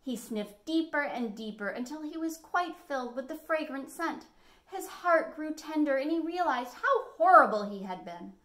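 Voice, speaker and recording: American woman reading to children, animated. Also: high-pitched, moderate pace. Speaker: library storytime host. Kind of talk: expressive children's picture book reading. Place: small living room at home, indoors.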